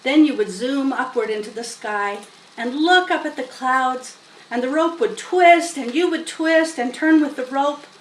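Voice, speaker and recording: A woman reading a children's story aloud in an expressive, unhurried way with dramatic emphasis. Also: high voice. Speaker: storybook reader. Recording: roomy, distant mic with faint noise.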